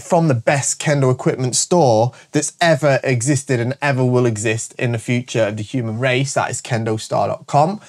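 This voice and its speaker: Stuffy-nosed man hosting. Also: fairly high pitch. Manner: energetic and jokey, repeating "all right?" often. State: congested stuffy voice from a cold. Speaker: show host and shop owner. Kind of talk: casual promotional monologue to camera.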